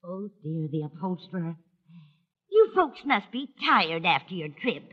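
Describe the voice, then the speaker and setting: A woman's voice: fairly low pitch, big pitch swings, a little slow. An actress playing a dotty, absent-minded older landlady in a vintage radio sitcom, sweetly vague and dithering. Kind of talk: 1940s-50s radio sitcom acting. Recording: muffled vintage radio recording.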